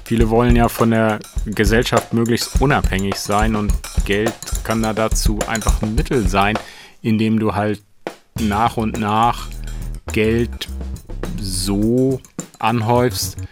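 A man explaining patiently and conversationally, measured and checking back with the listener. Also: fairly low pitch, fairly even intonation. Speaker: investment explainer. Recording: music bed under voice. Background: drum music.

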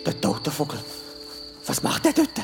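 A woman playing an excitable, earnest medieval village boy with a Swiss German accent and a stammering manner, in a children's radio play. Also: moderate pace, close to an everyday voice. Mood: frightened. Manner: breathless stammering, repeating words. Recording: music behind the voice.

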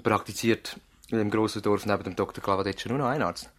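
An actor playing an inquisitive, courteous visiting doctor in a conversational radio drama. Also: male voice, on the low side, fast talker, natural voice. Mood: inquiring.

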